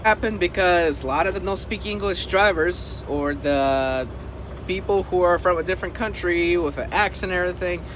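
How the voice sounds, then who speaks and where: High-pitched man vlogging over clear background noise. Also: moderate pace. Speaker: trucker and video host. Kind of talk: casual vlog talk to camera. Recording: noisy vehicle and outdoor background.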